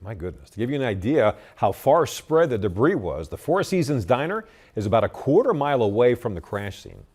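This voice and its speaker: American male news anchor, lively intonation. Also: mid-range voice, a little fast, hardly any pauses, breathy edge. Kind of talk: scripted television news delivery.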